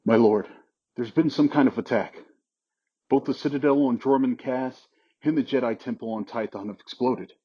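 A man plays a dutiful space general. His husky, mid-pitched voice reports with urgency in an audio drama, and the sound is dull and muffled. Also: normal speed, natural voice. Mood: urgent, grave.

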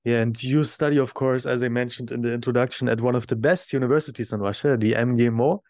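A man hosting a podcast, asking questions in a steady, chatty way. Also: mid-range voice, quick pace, dry recording, studio quality. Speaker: podcast host. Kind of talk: interview questions.

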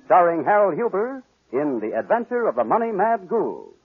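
A man's voice: sits high, sing-song, normal speed, narrow, tinny audio. A man announcing a radio drama in a grandiose, declamatory, scripted 1940s style. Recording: thin 1940s radio recording under loud music. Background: loud brass music.

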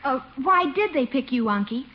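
A sweet young niece in a vintage radio comedy. Her voice is fairly high and fond, on a dull recording with faint noise. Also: lively intonation, normal speed. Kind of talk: 1950s radio sitcom acting.

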